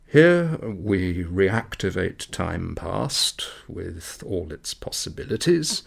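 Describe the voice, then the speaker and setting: A man voicing a patient, secretive, faintly impatient doctor in a literary story, speaking in a hushed, deliberate, instructing way. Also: deep voice, very animated, creaky, exaggerated voice. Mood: tolerant impatience.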